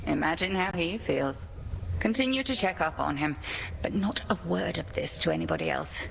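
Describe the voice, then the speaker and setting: A stern gravel-voiced witch headmistress. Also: lowish voice, lively intonation, moderate pace, slight background noise. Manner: stern, clipped, commanding. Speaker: headmistress and mentor. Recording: game dialogue with music behind.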